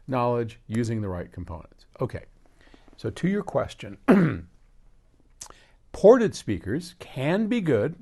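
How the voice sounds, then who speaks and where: An older American man hosting a casual question-and-answer talk, rambling through explanations and anecdotes with frequent digressions. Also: medium pitch. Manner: animated, digressive storyteller who quotes conversations. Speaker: host answering audience questions.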